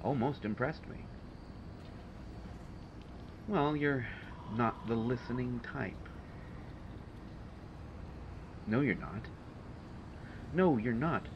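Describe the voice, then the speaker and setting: A man's voice: mid-range voice, expressive, slow, long pauses. A man playing a testy, sardonic supervillain genius in an audio roleplay, speaking in a dry, haughty, hesitant way with exasperation. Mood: grudging gratitude. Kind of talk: intimate audio roleplay monologue to a listener. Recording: dull, noisy home recording.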